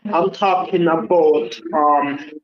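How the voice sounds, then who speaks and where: A man's voice: a little high, fairly even intonation, takes it slowly. A man calling in to a live show, chatting casually and rambling with many hesitations. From Jamaica. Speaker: caller. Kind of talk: casual call-in chat. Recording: echoey muffled phone-in line.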